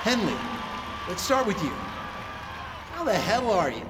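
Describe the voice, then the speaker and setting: Flippant male stage emcee, high animated voice, slow showman delivery, glib hype, audio drama. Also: crowd noise, noisy background. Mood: glib, upbeat. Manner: announcer hype, glib and jokey. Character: showy, flippant male fan-convention panel host.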